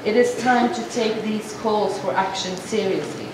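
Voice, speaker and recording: A woman voicing a formal speech to an assembly as a sign language interpreter, measured and halting, with frequent restarts. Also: medium pitch. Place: large assembly hall. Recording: echoey hall from far off, noisy.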